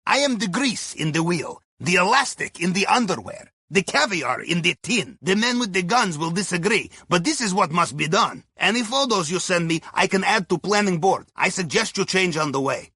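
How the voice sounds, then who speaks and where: Wry Russian heist sidekick, high-pitched for a man, husky, folksy, upbeat, video game mission guide. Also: animated, normal speed, hardly any pauses, bright, forceful tone, dry recording, heavily acted. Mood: wry, businesslike. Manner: wry, folksy, drops articles. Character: wry, upbeat male submarine captain's sidekick and heist planner. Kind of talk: scripted video game voice lines.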